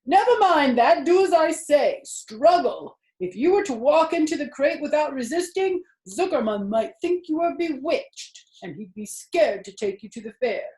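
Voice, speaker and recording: A woman's voice: high voice, lively intonation, normal speed, hardly any pauses, darkish tone, reverberant, voice pushed up, rough recording. A woman voicing a chatty, worldly old female sheep in a storybook reading. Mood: insistent.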